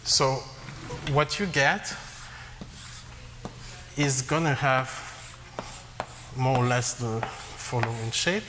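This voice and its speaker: A man who grew up in Congo giving a math lecture, halting with frequent fillers and restarts. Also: medium pitch. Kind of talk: academic lecture at a blackboard. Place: lecture hall. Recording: long reverb, faint hiss.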